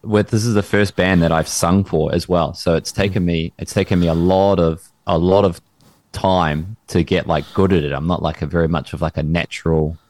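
Man, band singer, very low voice, dry close podcast mic; rambling casual guest who circles back on his words. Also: steady tone, normal speed, darkish tone, no echo. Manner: rambling, repeats words while searching for them. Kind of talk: casual chat. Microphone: in-ear monitors with podcast mic.

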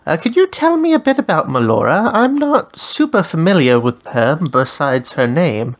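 A curious dream host, played by a woman with a low voice. She questions her guests in a friendly, measured way. Her pitch moves around a lot. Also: sing-song, exaggerated voice. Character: Rue, a dream-summoning interviewer. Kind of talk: tabletop character interview.

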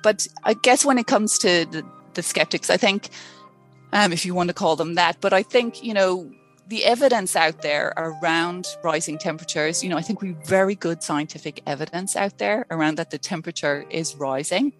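A woman's voice: fairly low pitch, normal speed, faint music behind. A woman with an Irish accent, from rural Ireland, a scientist answering interview questions with many ums and restarts. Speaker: scientist guest.

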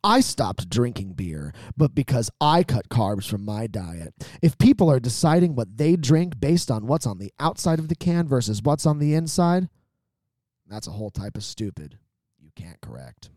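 A man's voice: mid-range voice, sing-song, normal speed, darkish tone, dry recording, clean studio sound. A man co-hosting a comedy podcast, chatting casually with a joking, exaggerating manner. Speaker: podcast co-host.

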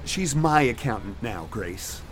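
An actor playing a weary, resolute middle-aged husband in an audio drama, curt and detached. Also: male voice, medium pitch, expressive, measured pace, slight background noise, close to an everyday voice. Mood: cold, impatient.

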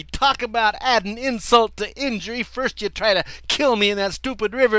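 A man's voice: sits high, sharp tone, no echo, voice pushed up. A man voicing a panicky, indignant male dog stuck in an icy river, stammering and complaining. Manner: frantic, stammering, whiny complaining.

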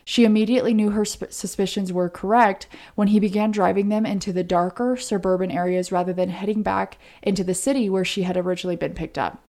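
A woman with a warm, rounded, relaxed tone co-hosts a true crime podcast. She lays out the case conversationally, as a story, explaining each step earnestly. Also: medium pitch, quick pace, hardly any pauses.

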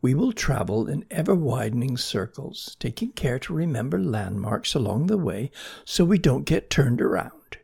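A storyteller voicing a calm, motherly female snail who speaks with gentle, reassuring authority. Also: mid-range voice, moderate pace, gravelly, slightly rough, full character voice. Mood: patient, assured. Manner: soft, reassuring, gently commanding.

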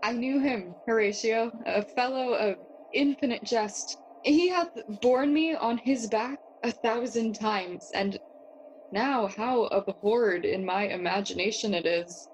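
An actress playing young Hamlet, a witty, brooding and curious noble, in a theatrical bilingual Spanish-English Shakespeare audio play. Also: female voice, fairly high pitch, unhurried, close to an everyday voice, low-quality recording. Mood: wistful, grieving. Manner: musing, questioning, theatrical.

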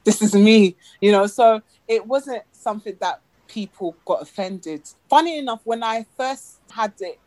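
Lively British woman telling stories. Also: medium pitch, a little slow, few pauses, no room sound, poor audio. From England. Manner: lively storyteller, acts out quoted voices. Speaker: guest, animator. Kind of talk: casual interview chat.

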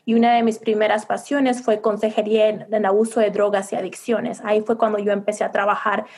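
A woman's voice: medium pitch, flat delivery, brisk, a little mellow, husky. A middle-aged woman who is a psychologist and coach, telling her story in a reflective, earnest way during an interview. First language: Spanish. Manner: earnest and reflective, with frequent restarts. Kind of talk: conversational interview, personal storytelling.

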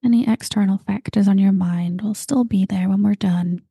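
A woman guiding a meditation, talking slowly and calmly and at times dropping into breathy whispers. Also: mid-range voice, no room sound, studio quality. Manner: slow, soft and calm, drifting into breathy whispers. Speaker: meditation guide. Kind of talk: guided meditation.

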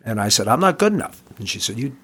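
A man's voice: medium pitch, sing-song, quick pace, fairly bright tone, slightly rough. An older man, an amateur actor, reminiscing casually as a guest in an interview.

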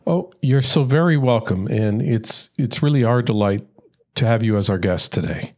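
A man's voice: mid-range voice, normal speed, a little mellow. A man hosting a faith podcast interview, asking questions and giving encouraging replies. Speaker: podcast host.

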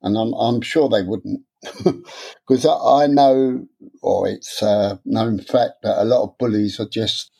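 A man, talking candidly in an interview, halting and repeating words as he speaks. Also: fairly low pitch, gravelly, warm tone, rough recording. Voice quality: stammer. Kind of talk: personal interview.

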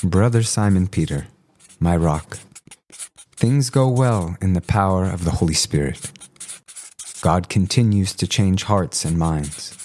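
A man's voice: low voice, a little slow, darkish tone. A man playing a devoted, plain-spoken apostle and younger brother in a Bible audio drama. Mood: fervent.

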